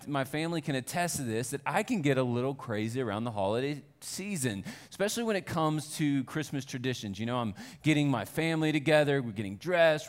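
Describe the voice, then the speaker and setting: Conversational man preaching a sermon. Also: mid-range voice. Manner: conversational, self-deprecating, rising to earnest fervor. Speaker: pastor. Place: church.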